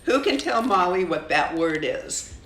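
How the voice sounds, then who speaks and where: An older woman reading the part of a patient, instructive schoolteacher in a storybook, measured and explanatory. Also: fairly low pitch, lively intonation, a bit bright, a little hiss or hum. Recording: roomy, echoing home recording.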